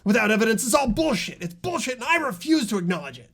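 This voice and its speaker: A man voicing a hot-headed teenage boy in a mystery game, shouting and repeating himself in defensive panic. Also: high voice, very animated, fast, husky, heavily acted. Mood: panicked fury.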